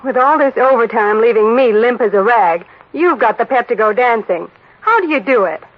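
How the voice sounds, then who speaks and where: An actress playing an office worker in a 1940s radio advert, speaking in scripted testimonial style. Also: female voice, fairly high pitch, expressive, normal speed, slight background noise, natural voice. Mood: curious. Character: tired office woman turned satisfied tonic user. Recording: dull old radio recording.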